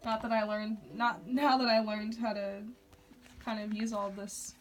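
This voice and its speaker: A young woman gives an intuitive reading in a relaxed, rambling way, scattering fillers as she goes. Her mid-range voice has a slight rasp from talking all day without water. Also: mid-range voice, unhurried, rough recording. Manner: chatty, lots of "like" and "um" fillers. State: slightly raspy, short of water. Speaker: clairvoyant reader. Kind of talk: casual one-on-one tarot-style reading.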